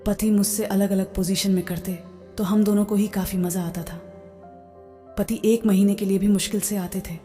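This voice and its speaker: A woman's voice: mid-range voice, dark tone. A woman reading a scripted first-person story as its narrator. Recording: long hall-like reverb. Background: background music.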